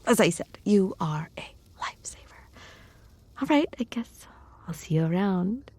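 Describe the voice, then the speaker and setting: Woman playing a playful, lively-pitched lamia best friend. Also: moderate pace, lightly acted. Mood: cheerful, nostalgic. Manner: teasing, cozy, often dropping into breathy whispers. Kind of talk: roleplay audio acting, intimate one-sided conversation.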